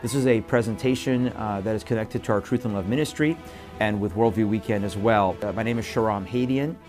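A man's voice: on the low side, brisk, a little mellow, soft background music. A middle-aged man from Iran hosting his own show, talking earnestly and emphatically to the viewer with many fillers and repeated phrases. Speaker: host. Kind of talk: earnest monologue to camera.

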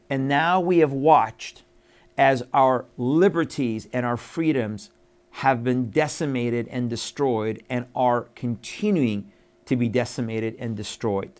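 Middle-aged host, from Iran, mid-pitched, emphatic delivery, lots of uh's and restarts. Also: male voice, slow, darkish tone. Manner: emphatic, repetitive, with many fillers. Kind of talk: earnest monologue to camera.

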